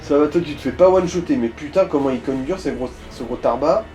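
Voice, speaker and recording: A man's voice: fairly high pitch, fast, mellow, faint music behind. A man giving casual, slangy Let's Play commentary and coaching a player, with some swearing. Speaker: gaming co-host guiding a player.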